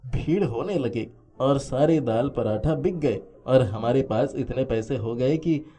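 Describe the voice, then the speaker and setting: Man as a mellow, humble stall owner. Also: mid-range voice, measured pace, lightly acted. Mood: excited. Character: humble, honest poor food-stall owner, devout husband. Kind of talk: animated Hindi moral story acting.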